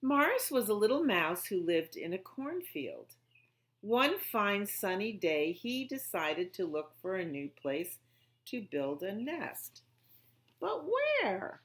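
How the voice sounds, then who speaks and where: A woman's voice: mid-range voice, very animated, slow. A woman hosting storytime for young children, reading picture books aloud and explaining things simply and expressively. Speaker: children's library storytime host.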